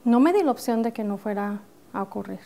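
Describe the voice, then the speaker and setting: Lively Mexican woman architect, tv guest. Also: medium pitch, brisk, creaky. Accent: northern Mexican Spanish, with some American influence. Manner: determined, lively storytelling. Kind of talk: TV studio interview. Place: TV studio set. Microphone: lapel.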